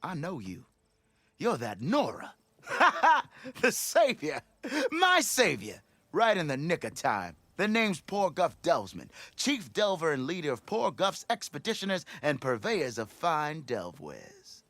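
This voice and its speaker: A man's voice: a little high, huge pitch swings, measured pace, fairly bright tone, no echo, exaggerated voice. An actor playing a blustering, self-important expedition leader in a video game, with a theatrical, wheedling salesman's patter. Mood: effusive, showy. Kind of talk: video game cutscene dialogue.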